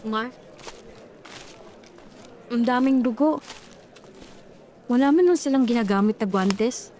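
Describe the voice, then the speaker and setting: A woman's voice: on the high side, takes it slowly, warm tone. A woman voicing a frightened young woman in a horror audio story, talking anxiously and urgently. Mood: fearful.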